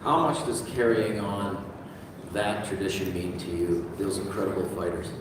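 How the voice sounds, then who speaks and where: A man's voice: low-pitched, steady tone, normal speed, noisy background. A man hosting a boxing press conference, asking the fighters questions. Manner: hyped announcer build-up at the close. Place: large event room. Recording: echoey hall picked up from far off.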